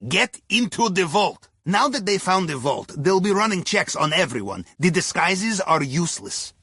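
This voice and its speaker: A man playing a wry Russian submarine captain in a video game, briefing a heist crew with deadpan, folksy remarks and a Russian accent. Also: sits high, moderate pace, husky, no room sound, clean studio sound, heavily acted. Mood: wry, focused. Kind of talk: scripted game mission briefing.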